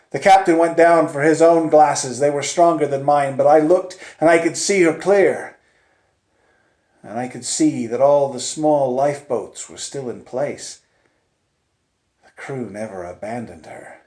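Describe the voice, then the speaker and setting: Male storyteller, mid-pitched and unhurried, theatrical suspense delivery with big dynamic swings, roomy sound. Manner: theatrical, swinging from hushed near-whispers to loud urgent peaks; deliberate, with dramatic pauses and repetition. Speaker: storyteller narrating in first person. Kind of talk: dramatic live oral storytelling of a suspense tale. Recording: roomy, echoing space.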